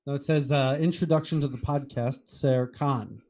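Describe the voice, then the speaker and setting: A man's voice: mid-range voice, fairly even intonation, normal speed, a little mellow, slight background noise. An American man co-hosting a podcast in casual chat, with a wry, teasing manner. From United States. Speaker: podcast co-host.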